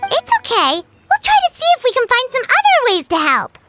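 An extremely high, bouncy child-fairy voice for a video game. The little companion is eager, cheeky and a bit boastful. Loud music runs underneath. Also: female voice, normal speed, full character voice. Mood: reassuring. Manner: perky, excitable, refers to self by name. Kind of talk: anime-style video game voice acting. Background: prominent orchestral game music.

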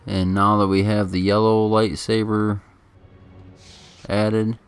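A man giving casual, laid-back video game commentary in a halting, chatty way, close to the microphone. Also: on the low side, little pitch movement, clean tone. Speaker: gameplay commentator. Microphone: microphone held very close. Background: game music.

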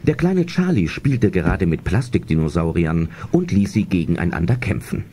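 A man's voice: deep voice, very animated, moderate pace, slight background noise. A man narrating a suspenseful adventure audio drama in scripted storyteller style. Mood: suspenseful storytelling. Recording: loud music under narration.

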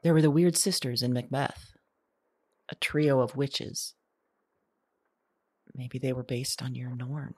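A woman's voice: rumbling low, slow pace, long pauses, a little mellow, husky, no echo, full character voice. A woman playing an anxious, searching modern woman in an audio drama, with a hesitant, confessional delivery. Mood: hesitant, thoughtful. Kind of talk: audio drama monologue acting.

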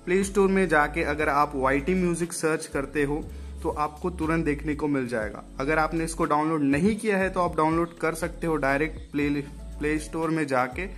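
Indian guy doing casual phone how-to, kinda high voice, keeps repeating steps, bit of music behind. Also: a bit bright. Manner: conversational, repeatedly addressing viewers as guys, repeats instructions. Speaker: tech tutorial host. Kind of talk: casual step-by-step phone tutorial.

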